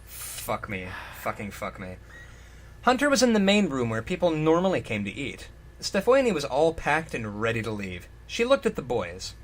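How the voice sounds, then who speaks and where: A man's voice: mid-range voice, huge pitch swings, normal speed. A man giving a comedic reading with casual riffing and sweary, exasperated asides. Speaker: co-host and reader.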